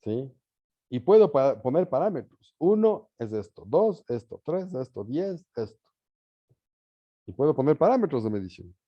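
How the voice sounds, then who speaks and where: A man teaching a class in an informal lecture style, pausing to think and often checking understanding with short tag questions. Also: mid-range voice, big pitch swings, dry recording, dull audio. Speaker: university teacher leading an online or live class.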